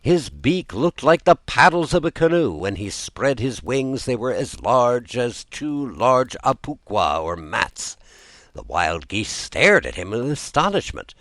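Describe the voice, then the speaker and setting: A man reading a fairy tale aloud as a storyteller, with an expressive scripted delivery. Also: mid-range voice, measured pace, dry recording. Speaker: storyteller, audiobook narrator.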